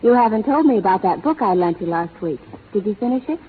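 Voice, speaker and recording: A woman's voice: mid-range voice, expressive, natural voice. A woman playing a spirited young schoolteacher. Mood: cheerful. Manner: bright questioning, quick to tease. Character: spirited young female schoolteacher, teasing and proud. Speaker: lead actress in a radio play. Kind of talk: 1930s radio drama acting. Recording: tinny 1930s radio broadcast with faint hiss.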